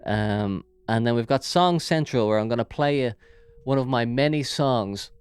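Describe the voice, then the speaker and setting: A man's voice: mid-range voice, normal speed, clear, resonant tone. Irish man, rambling jokey podcast talk, resonant voice, studio mic. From Ireland. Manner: rambling and jokey, with frequent fillers. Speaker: podcast host. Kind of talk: casual solo podcast chat. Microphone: big studio microphone.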